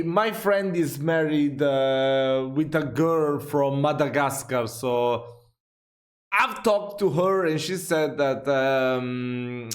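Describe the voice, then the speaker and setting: A man hosting a reaction video, chatting casually and thinking aloud with fillers and small laughs. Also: medium pitch.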